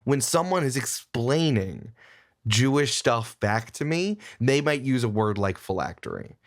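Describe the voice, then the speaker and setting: A man talking casually as a podcast guest, opinionated and animated, often digressing as he argues his point. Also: mid-range voice, a little slow.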